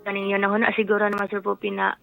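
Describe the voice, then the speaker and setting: A woman's voice: medium pitch, monotone, very fast, creaky, sharp tone, soft background music, rough recording. A woman in her twenties with a Filipino Cebuano accent, a radio caller giving short, hesitant answers in casual chat. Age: 20s.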